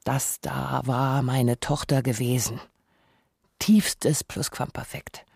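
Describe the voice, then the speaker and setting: A man playing an anguished, self-reproaching father who narrates a radio essay in deliberate, reflective phrases. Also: medium pitch, flat delivery, gravelly, a little mellow, breathy edge, no echo, heavily acted. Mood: melancholy. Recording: dry studio with some music.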